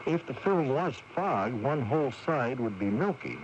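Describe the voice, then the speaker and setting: A man's voice: mid-range voice, animated, moderate pace, lightly acted. Man playing earnest plain-spoken cameraman, swinging intonation, eighties radio play acting, muffled taping of a broadcast. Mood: baffled. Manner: hesitant, plain-spoken, repeats himself. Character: puzzled, diligent male film cameraman. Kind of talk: 1980s radio drama acting. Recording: dull, noisy 1980s radio taping.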